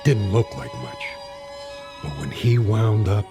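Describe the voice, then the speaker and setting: A gravelly old ex-ballplayer. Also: male voice, fairly low pitch, expressive, slow pace, over music. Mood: reminiscing. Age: older. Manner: rambling storyteller. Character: old man, a talkative former ballplayer. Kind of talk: radio drama acting.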